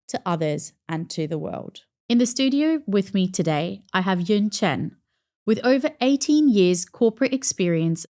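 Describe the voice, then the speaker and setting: A woman hosting a studio podcast, reading a scripted intro and then asking curious, conversational questions. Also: on the low side. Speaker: podcast host. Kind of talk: podcast interview.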